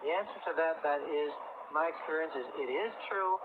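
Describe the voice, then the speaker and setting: A man's voice: fairly high pitch, a little fast. A man speaking as an expert guest on a call-in radio show, explaining things in an earnest, assured, casual way. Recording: tinny, very noisy 1980s radio broadcast tape.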